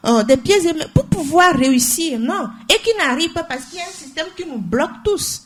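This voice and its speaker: A woman's voice: sits high, expressive, brisk, noisy background. A young woman, speaking as a co-host in a radio talk show discussion and driving home her points by repeating phrases. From Togo. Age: young adult. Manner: emphatic, repeats phrases for weight.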